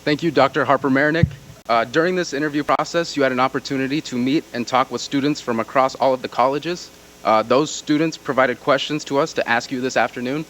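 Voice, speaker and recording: A young adult man reads prepared questions formally and at a quick pace. His pitch is average for a man. Faint noise sits behind his voice. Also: steady tone, a bit bright, highs cut off. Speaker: student questioner.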